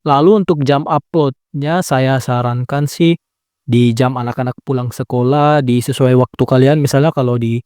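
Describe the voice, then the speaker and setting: A man's voice: mid-range voice, fairly even intonation, moderate pace, darkish tone, clean tone, dry recording, studio quality. A young man giving a casual, chatty step-by-step editing tutorial, laid-back with lots of filler tags. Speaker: tutorial creator. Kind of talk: casual screen-recording tutorial.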